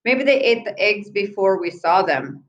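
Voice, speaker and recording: A woman voicing curious, eager young boys in a children's storybook, with an excited, questioning manner. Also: medium pitch, moderate pace, lo-fi sound, natural voice.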